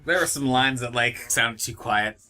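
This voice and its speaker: A man's voice: medium pitch, normal speed, bright, forceful tone. A man speaking casually and conversationally in a group commentary. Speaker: cast member in group commentary.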